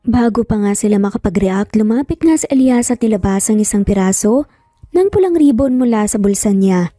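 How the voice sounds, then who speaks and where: A woman's voice: mid-range voice, lively intonation, fairly quick, warm tone, no room sound, soft background music. A woman narrating a dramatized romance story as a storyteller.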